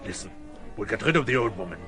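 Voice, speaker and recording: An actor playing a boastful, lecherous middle-aged hotelier who blusters and wheedles, in a radio drama. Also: male voice, on the low side, expressive, fast talker, pushed and bright, faint music behind. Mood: blustering.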